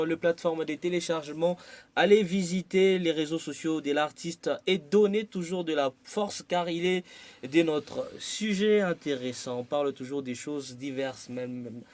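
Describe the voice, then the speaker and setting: A man's voice: a little high, darkish tone. A man hosting a radio interview who asks his questions in formal announcer phrasing. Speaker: radio host.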